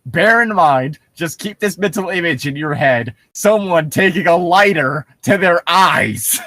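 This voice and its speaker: A man's voice: on the high side, expressive, unhurried, pushed and bright, no echo. A man hosting a casual read-along, switching between reading a story and sarcastic, nitpicking, sometimes profane commentary. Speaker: host reading a story aloud with mocking commentary.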